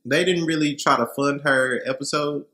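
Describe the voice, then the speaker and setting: A man's voice: fairly high pitch, normal speed, a crowd in the background. A man, co-hosting a casual chat with joking slang. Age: 30s. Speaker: podcast co-host. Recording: echoey room.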